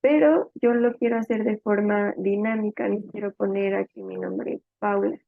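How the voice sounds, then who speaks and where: A woman's voice: medium pitch, steady tone, normal speed, gravelly, no echo. A woman instructor narrating a step-by-step tutorial in an informal, think-aloud explanatory way as she types. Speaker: programming instructor. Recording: narrow phone-like sound, dry.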